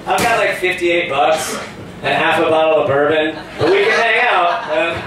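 Echoey, high-voiced young male stand-up. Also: normal speed. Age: 20s. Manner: profane, incredulous ranting. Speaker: stand-up comedian at an open mic. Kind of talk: live stand-up comedy. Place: small cafe comedy night, indoors. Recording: distant mic, echoey noisy live room.

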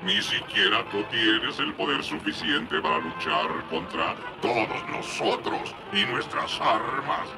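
A man with a Latin American Spanish accent voicing a gravelly, menacing, gloating villain who leads raiders in a cartoon dub. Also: on the low side, lively intonation, slow pace, few pauses, over music, exaggerated voice. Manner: menacing, gloating, barking orders. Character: bullying male villain leading armed raiders, gloating and cowardly. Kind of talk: dubbed 1980s cartoon acting.

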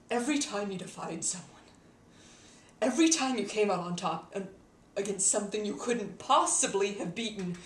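A woman playing a haunted, embittered young wizard turned killer in a dramatic monologue, intense and halting, swinging between hush and outcry. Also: mid-range voice, natural voice. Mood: anguished, accusing. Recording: echoey room, distant mic, faint noise.

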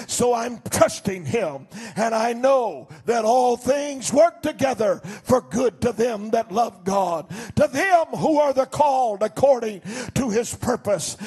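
An older man preaching a sermon as pastor from a church stage into a handheld mic, swinging between shouted declarations and low, quiet passages. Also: husky. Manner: fervent preaching, rising to shouts then dropping low.

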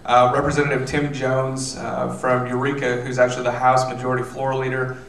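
A man in his thirties, a state legislator, answering questions on a panel with measured, filler-filled speech into a handheld mic. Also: medium pitch, monotone, vocal fry, fairly bright tone. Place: theater stage. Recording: roomy, distant, faint noise.

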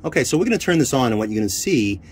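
A man giving an informal hands-on equipment demonstration, haltingly thinking aloud as he handles the gear. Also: mid-range voice, very animated. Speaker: radio hobbyist demonstrating equipment. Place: inside a military-style off-road vehicle. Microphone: handheld camera, one hand free. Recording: noisy handheld camera audio.

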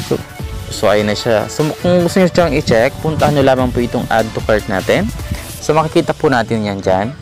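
A man with a Filipino accent hosting a screen-recorded app tutorial, talking in a relaxed way and leaning on fillers like "ayan" and "okay". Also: mid-range voice, fairly quick. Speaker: tutorial host. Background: background music.

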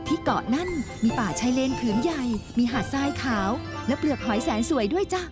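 Woman playing a kind young teacher in a kids' cartoon, soft and coaxing, pitched high. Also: animated, fairly quick. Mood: gentle. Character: kind young female teacher, gentle and caring. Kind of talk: cartoon dubbing. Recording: studio voice over loud children's music.

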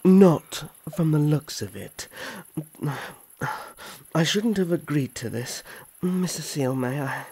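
A man's voice: on the high side, expressive, slow pace, darkish tone, breathy edge, heavily acted. A man playing a protective young elf prince in a fantasy audio drama, earnest and formal, with urgency in his manner. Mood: indignant, worried.

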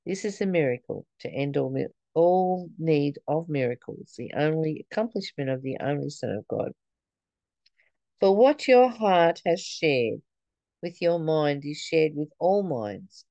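Unhurried, low-voiced woman reading spiritual text. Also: lively intonation, warm tone, no room sound, dull audio. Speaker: spiritual study reader. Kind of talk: reading aloud from a spiritual book with informal commentary.

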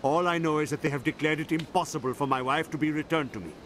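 An actor playing a dignified, grieving widower in a video game, formal and courteous. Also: male voice, on the high side, fast, slight background noise, close to an everyday voice. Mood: sorrowful.

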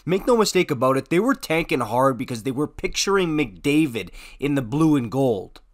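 A man with a slightly high voice narrates a sports opinion video. He talks conversationally and leans on his words for emphasis, with casual slang throughout. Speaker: sports commentary video host. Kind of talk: informal opinionated sports commentary, voice-over.

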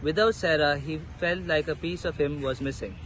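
A man's voice: a little high, moderate pace, a bit bright, soft background music, low-quality recording. A young man, reading a scripted story as narrator, recorded outdoors. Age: young adult. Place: outdoors in a park. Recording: faint outdoor background noise.

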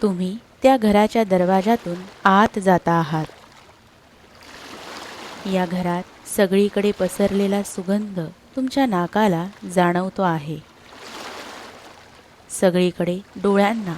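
A woman speaks very slowly in a mid-pitched voice, guiding a sleep meditation. Her delivery is soothing and scripted, with short phrases separated by long pauses. A faint layer of trickling water ambience runs behind her. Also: a little mellow, a little hiss or hum. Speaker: guided meditation narrator.

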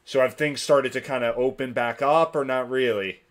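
Relaxed podcast host with many fillers. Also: male voice, medium pitch, normal speed. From New Jersey. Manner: relaxed, filler-heavy talk. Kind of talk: casual interview chat.

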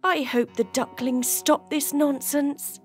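A woman voicing a kindly, worried mother duck in an animated fairy tale. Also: high voice, slow, faint music behind. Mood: gentle, worried.

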